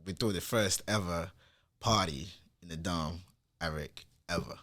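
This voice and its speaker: A man in his twenties with a low, deep voice chats as a podcast guest. He is from Nigeria and speaks in a laid-back, easygoing way, full of casual slang. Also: unhurried, fairly bright tone. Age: 20s.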